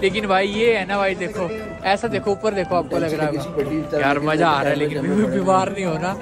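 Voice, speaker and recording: A young man filming a travel vlog outdoors on a snowy train platform and inside a train, chatting excitedly with his viewers. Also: high voice, moderate pace. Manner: excited, chatty, keeps calling viewers brother. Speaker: travel vlogger. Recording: background music mixed under the voice. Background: music.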